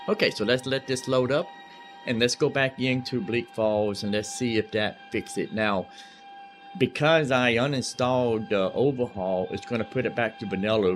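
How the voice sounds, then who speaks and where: A man giving casual, rambling gameplay commentary as a live streamer, often repeating himself in an easygoing way. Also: medium pitch. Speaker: live streamer playing a video game.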